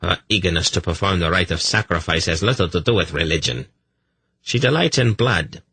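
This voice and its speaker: A man's voice: low voice, expressive, a little fast, gravelly, bright, forceful tone, husky, no room sound, exaggerated voice. A man voicing a bitter, conspiratorial underpriest in a fantasy audiobook, muttering with venom. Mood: venomous, conspiratorial. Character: dark, bitter, conspiratorial male underpriest of a cruel temple.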